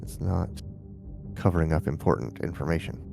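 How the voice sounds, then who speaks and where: A man's voice: extremely low pitch, fairly quick, creaky, over music. A man hosting a live stream, chatting casually and muttering to himself while he sets up. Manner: mumbling asides while setting up. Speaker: streamer host.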